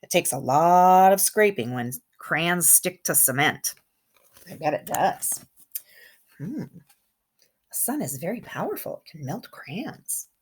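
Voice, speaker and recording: Slow, very animated female kindergarten teacher reading aloud. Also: fairly low pitch, husky. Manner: animated, simple wording, pauses to comment and question. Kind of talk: expressive picture-book read-aloud for young children, with asides.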